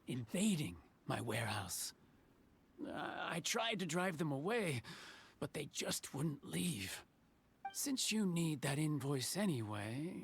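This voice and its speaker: A man voicing a sociable, weary elderly carpenter in a video game, with a creaky aged voice and a folksy, hesitant way of talking. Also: a little high, full character voice. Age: older. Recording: studio voice over game music.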